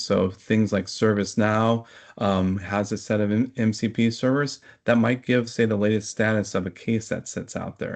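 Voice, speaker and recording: Guy, lowish voice with lots of fry, explaining tech stuff in an interview, kinda measured and hesitant. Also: darkish tone. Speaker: technology expert guest.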